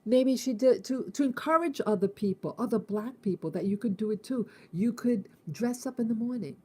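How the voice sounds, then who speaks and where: A woman in her fifties from the United States, hosting a casual morning reflection, thinking aloud with halting restarts and repeated words. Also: on the high side, mellow, slightly rough. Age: 50s. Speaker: host.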